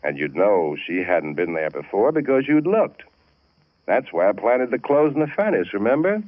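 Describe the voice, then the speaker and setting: An actor playing a cold, cynical, scheming young husband in 1940s radio drama style. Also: male voice, on the low side, very animated, normal speed, no room sound. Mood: matter-of-fact confession. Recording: tinny, band-limited old radio recording.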